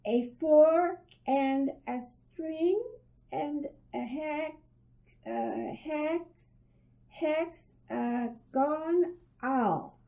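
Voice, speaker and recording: A small, careful girl in a radio play. She is very high-pitched and extremely slow, halting as if working hard at each word, with a slightly muffled sound. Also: creaky, dark tone, full character voice. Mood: concentrating. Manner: halting, painstaking recitation. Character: younger, slow, careful little girl playmate. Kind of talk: old-time radio drama acting.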